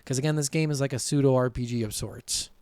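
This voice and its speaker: A man's voice: mid-range voice, steady tone, quick pace, a little mellow, clean tone, dry recording, studio quality. A man chatting casually as a video game podcast host, emphatic and swearing freely. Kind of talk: casual chat.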